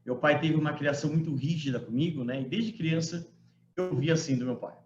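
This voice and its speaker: A man's voice: a little high, brisk, a little mellow, a little hiss or hum. A man in his forties, a law professor from Brazil, giving a conversational online lecture to students and often checking in with short tag questions. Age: 40s. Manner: conversational, frequent tag questions, emphatic quoting. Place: home room. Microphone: computer mic on a video call. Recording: roomy, muffled video-call audio.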